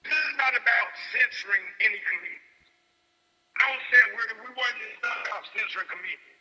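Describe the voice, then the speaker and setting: A man's voice: sits high, fairly quick, bright, forceful tone, in a large hall, soft background music, rough recording. A man calling in as a comedy booker, speaking firmly and insistently as he lays down his rules. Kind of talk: phone-in argument.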